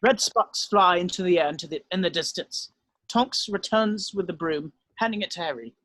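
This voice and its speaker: A man's voice: high-pitched, moderate pace, a bit bright, no room sound, low-quality recording. A man narrating scripted stage directions at an amateur drama table read.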